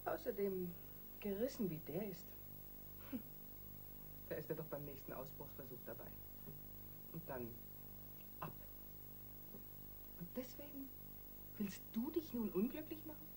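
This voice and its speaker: A woman's voice: mid-range voice, sing-song, a little slow, pauses often, mellow, slight background noise, poor audio. An actress in a German radio crime drama playing a calculating, insistent wife who works on her husband with emphatic persuasion.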